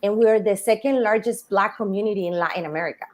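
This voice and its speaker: A woman's voice: mid-range voice, quick pace. A woman from Colombia, hosting a casual live-stream conversation, chatty and full of "you know" fillers. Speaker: city council candidate hosting a live stream.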